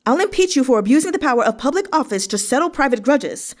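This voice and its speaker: Woman playing a high, furious, righteous official. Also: very animated, fast. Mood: defiant. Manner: forceful, accusing, declamatory. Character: indignant, righteous male government official, defiant and furious.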